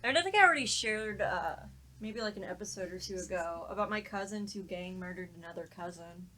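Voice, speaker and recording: A woman's voice: medium pitch, a little fast, bright, forceful tone, a little hiss or hum. A woman in casual podcast conversation, telling stories informally with some swearing. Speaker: podcast panelist. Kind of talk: casual chat, anecdote telling.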